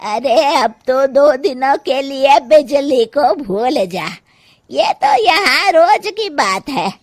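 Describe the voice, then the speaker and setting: A bossy older village mother-in-law , put on by a woman, scolds in slow, heavy words for a cartoon story. The voice is lively in pitch. It is recorded dry and close. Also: dry recording, exaggerated voice. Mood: dismissive.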